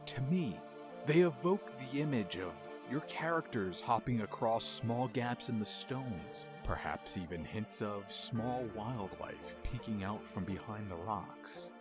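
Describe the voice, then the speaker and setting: A man narrating a scripted video essay as its host, with an expressive, dramatic storytelling delivery. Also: fairly low pitch, unhurried. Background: music under the voice.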